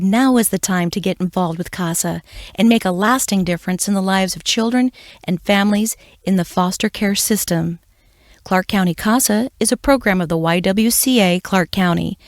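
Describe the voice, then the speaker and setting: Female announcer, medium pitch, scripted public service read, dry studio. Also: no room sound. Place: dry studio, close mic.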